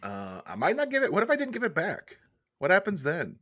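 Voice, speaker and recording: A man co-hosting a casual podcast, goofy and joking, riffing with drawn-out deadpan bits. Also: sits high. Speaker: podcast co-host. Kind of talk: casual chat.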